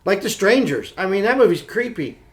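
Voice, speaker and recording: A man's voice: sits high, animated, a little fast, fairly bright tone. A man co-hosting a podcast in casual chat, speaking in an enthusiastic, opinionated and informal way. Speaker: podcast co-host.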